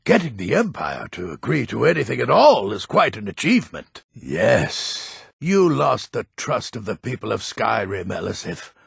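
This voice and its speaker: An actor playing a grumpy, proud old Nordic man in a fantasy video game, with a gravelly, raspy voice and a gruff, theatrical delivery. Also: lowish voice, big pitch swings, normal speed, hardly any pauses, dry recording, studio quality, exaggerated voice. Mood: indignant. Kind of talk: video game character dialogue.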